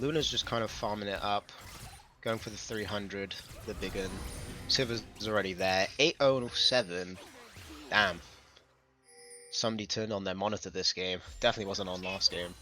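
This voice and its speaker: A young man, casting an esports match with joking banter and excited play calls. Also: fairly low pitch, moderate pace, long pauses, faint music behind. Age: young adult. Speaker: esports caster. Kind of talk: casual esports commentary.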